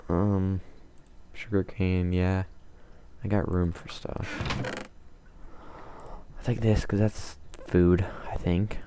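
A man calmly talking to himself while playing a game, in a soothing, mumbling way. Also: low-pitched, slow pace, long pauses, a little hiss or hum. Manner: calm, mumbling, self-talking. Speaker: gamer. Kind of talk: casual let's play commentary.

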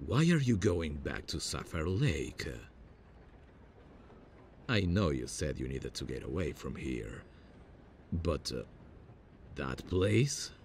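A man playing a worried, protective big brother in an audio roleplay, speaking haltingly. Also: rumbling low, gravelly, a little hiss or hum, heavily acted. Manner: hesitant, halting with many pauses.

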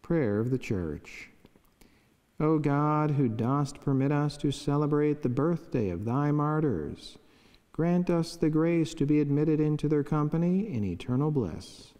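Slow, solemn man in an echoing chapel. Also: on the high side, animated, dark tone. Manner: solemn, measured, formal. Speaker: friar preaching. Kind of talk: read devotional reflection and prayer. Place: wood-panelled chapel indoors, at a lectern. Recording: long echoing chapel reverb.

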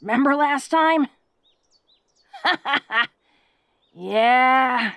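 A woman playing a tough but bashful, devoted orc girlfriend in an intimate audio roleplay, talking in an awkward, halting, self-deprecating way. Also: sits high, expressive, gravelly, a bit bright, no echo, full character voice. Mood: shy, playful.

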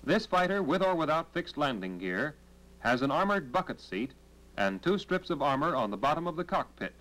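An American man delivering scripted 1940s military training film narration in a formal, measured, instructional manner on a vintage film soundtrack. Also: fairly high pitch, big pitch swings, fairly bright tone, poor audio.

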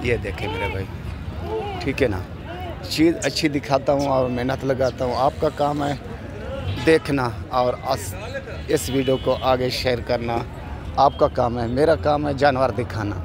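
A middle-aged man, a goat farmer, walks through an outdoor livestock market showing his animals, talking slowly and repeating a tag question at the end of his phrases. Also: medium pitch, crowd noise. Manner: slow, repetitive, ends phrases with a tag question. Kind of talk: walking tour vlog commentary.

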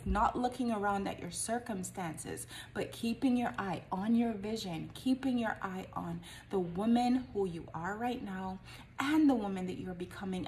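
A woman's voice: mid-range voice, darkish tone, slight background noise. A woman in her forties, a life coach, giving an earnest, encouraging talk straight to the camera from a small home office and asking rhetorical questions. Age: 40s.

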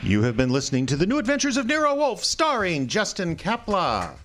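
A man's voice: sits high, wildly swooping pitch, brisk, music in the background. A man announcing the closing credits of a radio theater show. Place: live stage before an audience.

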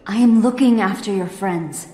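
A woman voicing a solemn, dignified mystical sheep guardian in a video game, formal and measured. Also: medium pitch, warm tone, slight background noise, natural voice. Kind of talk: animated video game cutscene acting. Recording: music under the voice.

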